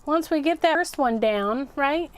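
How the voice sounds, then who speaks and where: Woman, high voice, slow pace, casual craft livestream host, chatty, thinking out loud. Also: expressive. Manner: chatty, self-narrating, repeats words. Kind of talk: casual live craft chat, thinking aloud.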